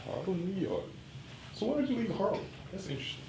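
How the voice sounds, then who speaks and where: A host asking the guests teasing, probing questions in a casual chat. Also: male voice, high voice, big pitch swings, fast talker, gravelly, echoey room, recorded from a distance, background noise.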